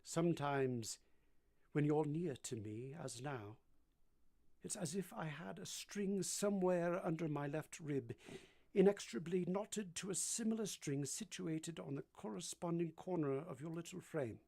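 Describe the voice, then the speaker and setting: Brooding master of the house, played by an actor: commanding, teasing, ardent. Formal, theatrical delivery for a period radio romance. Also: male voice, fairly high pitch, unhurried, vocal fry, dark tone. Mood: wistful, tender. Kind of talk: vintage radio drama acting.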